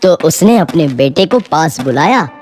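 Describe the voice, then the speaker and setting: A woman's voice: deep voice, animated, unhurried, darkish tone. A woman narrating a scripted moral story for children as a storyteller. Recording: music behind the voice.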